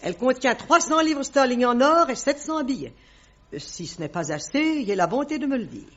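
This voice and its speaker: Casting: haughty middle-aged queen, high animated voice, formal radio-play delivery, muffled vintage sound. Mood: anxious, insistent. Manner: haughty, formal, measured diction. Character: proud, imperious middle-aged queen mother, anxious and formal. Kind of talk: French radio drama acting. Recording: dull, band-limited old radio recording.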